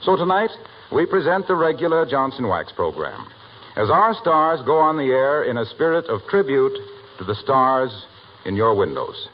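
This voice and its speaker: A male American announcer reads formal 1940s radio copy with a very animated rise and fall. The old recording is dull, with faint noise underneath. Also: mid-range voice, normal speed.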